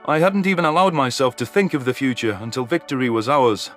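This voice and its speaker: A man's voice: mid-range voice, expressive, a little fast. A man reading scripted first-person science fiction narration as an audio story narrator. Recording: music under the voice.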